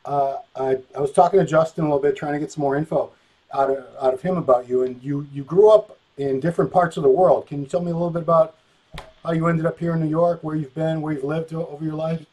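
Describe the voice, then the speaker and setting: A man's voice: a little high, steady tone, quick pace, darkish tone, rough recording. A man hosting an interview, rambling and loose, calling himself scatterbrained. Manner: rambling, self-described scatterbrained. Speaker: interview host. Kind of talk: casual interview.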